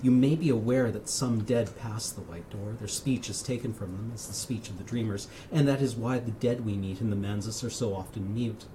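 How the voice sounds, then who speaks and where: A man reading in the voice of a solemn, fearful occult dreamer, in a measured, formal, literary manner with hushed phrase endings. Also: fairly low pitch, background noise, natural voice. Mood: grave, uneasy.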